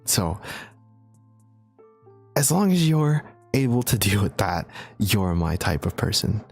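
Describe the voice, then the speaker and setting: A young man chatting casually on a livestream, answering viewer questions in a relaxed, chatty way with frequent laughs and fillers. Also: fairly low pitch, slow, gravelly, husky, faint music behind. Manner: laid-back, chatty, laughs often, many fillers. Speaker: streamer.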